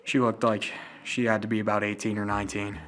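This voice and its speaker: A man's voice: lowish voice, little pitch movement, a little fast, a bit bright, close to an everyday voice. A man playing a blunt, suspicious police detective in a noir radio drama. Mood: brooding inner monologue. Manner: hard-boiled, interrogating. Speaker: student voice actor. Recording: amateur radio drama with music underneath. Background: music.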